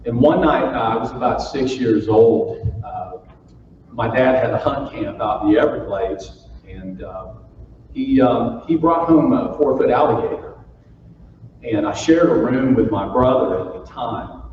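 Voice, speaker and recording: A man's voice: medium pitch, unhurried. A man from Florida, giving a humorous keynote speech and telling stories to a live audience in a folksy, joking manner. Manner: folksy, jokey, playful with the audience. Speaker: keynote speaker. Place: large conference hall. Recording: far-off roomy hall, muffled with faint noise.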